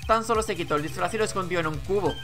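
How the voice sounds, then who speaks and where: A man's voice: high voice, rapid-fire, fairly bright tone, soft background music. A man voicing an earnest, determined young male defense attorney in a video game, speaking firmly. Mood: accusing. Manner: firm, declarative.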